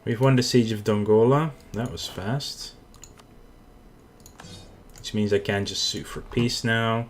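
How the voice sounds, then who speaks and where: Man, Dutch accent, lowish voice, casual game commentary, thinking aloud, keyboard typing behind. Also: fairly even intonation, slow, pauses often. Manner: thinking aloud, self-correcting. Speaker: gaming channel host. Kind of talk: casual let's play commentary.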